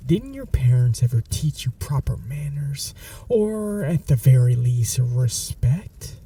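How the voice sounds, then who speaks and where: A man voicing a smug, teasing male vampire in an ASMR audio roleplay, talking in a soft half-whisper that slides into mockery. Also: mid-range voice, creaky, slightly rough, far from the mic, full character voice. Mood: mocking.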